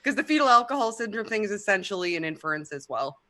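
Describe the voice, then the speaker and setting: Bright-voiced male moderator. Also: high voice, very animated, fast. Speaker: debate moderator.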